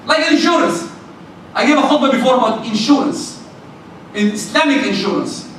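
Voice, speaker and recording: A man with a loud voice preaching a lively, emphatic sermon in a mosque. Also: very high voice, moderate pace. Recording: echoey hall from far off, faint noise.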